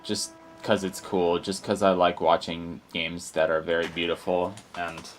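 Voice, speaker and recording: A young man hosting a gaming channel, talking in a low, croaky morning voice and rambling casually over his gameplay with lots of fillers and restarts. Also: level tone, a little slow, slight background noise. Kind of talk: casual let's play commentary.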